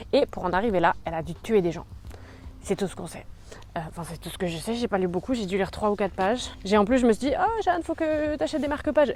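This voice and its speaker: A woman's voice: medium pitch, darkish tone. A young woman chatting casually in a book vlog, rambling with many fillers. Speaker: book YouTuber.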